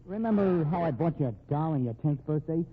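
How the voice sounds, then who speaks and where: An actor playing a desperate fugitive hoodlum in an old radio crime drama, talking tough, hard-bitten gangster slang. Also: male voice, on the high side, expressive, fairly quick, slight background noise, close to an everyday voice. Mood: desperate pleading. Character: desperate fugitive brother, a small-time hoodlum. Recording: tinny, narrow old radio recording.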